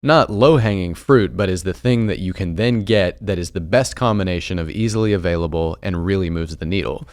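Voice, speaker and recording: A man's voice: deep voice, fairly quick, few pauses, no echo. A man hosting a podcast, asking curious, open questions and chiming in with short agreements. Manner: curious, chimes in with short backchannels. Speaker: podcast host. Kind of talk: interview questions.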